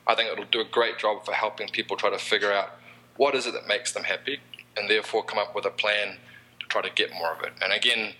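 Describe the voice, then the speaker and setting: A man's voice: on the low side, steady tone, quick pace, pushed and bright, rough recording. A man with a New Zealand accent, a podcast guest talking in a rambling, easygoing way. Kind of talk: casual interview chat.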